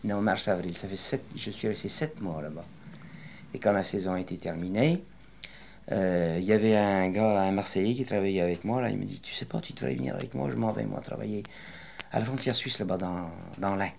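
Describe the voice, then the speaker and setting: An older man in his late sixties with a very deep, low voice recounts his working life for an interviewer. He wanders through his memories slowly and hesitantly, filling gaps with pauses and restarts. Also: creaky, a little mellow, slight background noise. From western France. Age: 60s. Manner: rambling, hesitant storytelling with many pauses. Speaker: retired interviewee. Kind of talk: oral history interview.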